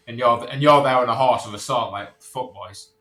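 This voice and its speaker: A man's voice: medium pitch, brisk, bright, forceful tone, echoey room. A man chatting casually on a podcast in a blunt, sweary way.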